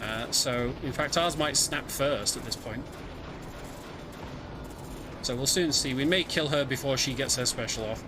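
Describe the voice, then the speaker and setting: A man's voice: medium pitch, a little slow, long pauses, background noise. A man giving live, explanatory commentary on a video game as he plays it, somewhat hesitant as he goes. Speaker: speedrunner commentating own play. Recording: game music behind voice. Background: video game music.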